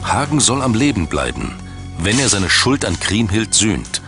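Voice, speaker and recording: Deep-voiced man narrating a documentary. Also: sing-song, vocal fry, fairly bright tone. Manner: measured, dramatic storytelling. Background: background music.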